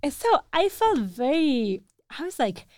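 A woman in her thirties with an Italian accent, whose first language is Italian, telling a story in a casual conversation, animated and laughing often. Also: high voice, measured pace, no room sound, clean studio sound. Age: 30s.

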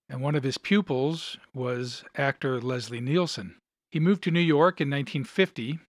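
A man hosting a show, giving scripted narration and commentary on an old radio drama in a measured, explanatory way. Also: mid-range voice, dry recording, studio quality. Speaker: podcast host.

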